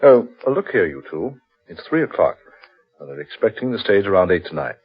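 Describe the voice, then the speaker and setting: Very husky, genial gentleman. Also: fairly low pitch, sing-song, fairly quick, heavily acted. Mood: genial, curious. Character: genial, curious English gentleman at cards. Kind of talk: 1940s radio acting. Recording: muffled, husky old radio recording.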